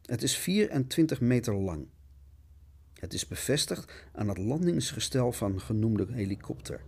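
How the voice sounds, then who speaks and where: A man's voice: medium pitch, big pitch swings, normal speed, creaky, darkish tone, breathy edge. A man narrating a scripted radio essay in a melodramatic, mock-dramatic, declamatory manner.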